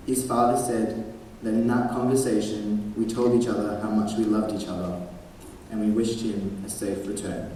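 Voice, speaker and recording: Mellow solemn man in an echoey hall. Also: on the low side, far from the mic, a little hiss or hum. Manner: solemn, measured reading. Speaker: assembly presenter. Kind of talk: scripted memorial narration. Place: school assembly hall.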